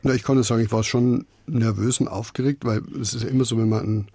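A man's voice: mid-range voice, steady tone, fast talker, creaky, warm tone, dry recording. A man speaking as a radio drama director in an interview, conversational and reflective, often hesitating as he searches for words.

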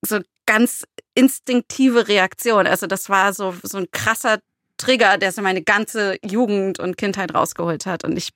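A woman's voice: mid-range voice, fairly bright tone, no room sound. Casual woman co-host, dry studio sound. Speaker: podcast co-host and screenwriter. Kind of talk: casual chat.

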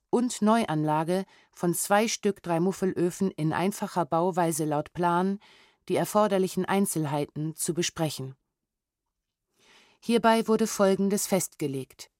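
A woman's voice: fairly low pitch, a little mellow, dry recording, clean studio sound. A woman reading historical documents as a narrator, in a formal, sober and precisely enunciated documentary style.

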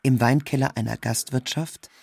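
A man's voice: medium pitch, steady tone, normal speed, gravelly, darkish tone, husky. A man reading a scripted literary narration in a sober, factual manner.

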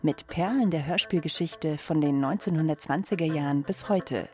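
Casting: woman for podcast trailer narration, slightly low voice, music bed behind. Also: moderate pace. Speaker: podcast promo announcer. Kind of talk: scripted promo narration.

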